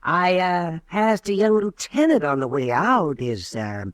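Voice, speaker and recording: Folksy old doctor, high lively voice, dry mic. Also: male voice, a little slow, no echo. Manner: folksy, chuckling, hesitant. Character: fussy chuckling old town doctor. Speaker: town doctor. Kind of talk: 1950s radio drama acting.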